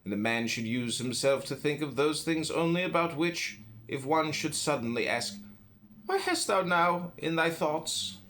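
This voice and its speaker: A man reading a philosophy book aloud in a solemn, deliberate way, phrase by phrase with pauses. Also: on the high side, expressive, a bit bright, a little hiss or hum. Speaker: audiobook reader.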